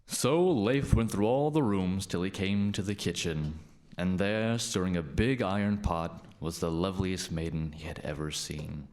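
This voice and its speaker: A man's voice: deep voice, a little slow, darkish tone. A man playing a storyteller, narrating a scripted folktale. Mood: storytelling.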